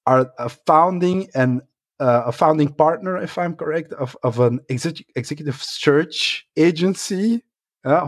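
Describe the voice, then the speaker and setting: A man's voice: fairly high pitch, no room sound, studio quality. A man hosting a technology podcast, talking in a hesitant, casual way with many fillers. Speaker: podcast host. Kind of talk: casual interview chat.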